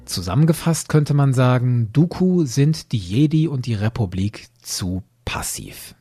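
Man, podcast host, explanatory narration, soft-edged husky tone, close dry sound. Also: mid-range voice, lively intonation, a little slow, dry recording, faint music behind. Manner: explanatory, asks and answers questions.

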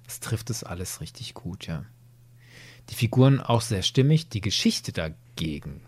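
A man's voice: deep voice, big pitch swings, moderate pace, slightly rough, no echo. A man hosting a podcast, giving his opinions analytically in a conversational review discussion. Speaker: podcast host.

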